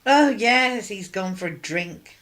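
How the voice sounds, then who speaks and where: An actress playing a weary, sarcastic 1950s British working-class wife in a radio drama, with a clipped, irritable manner. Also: female voice, medium pitch, lively intonation, takes it slowly, a bit bright. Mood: guarded, polite.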